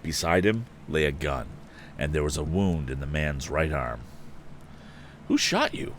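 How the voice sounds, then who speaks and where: A man's voice: a bass voice, normal speed, slight background noise. A man hosting a live story reading, narrating expressively and dramatically with casual asides. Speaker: host and reader of a live story stream.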